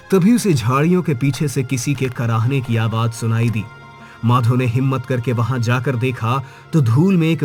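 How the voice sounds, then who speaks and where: A man narrating a scripted moral story as a dramatic storyteller. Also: mid-range voice.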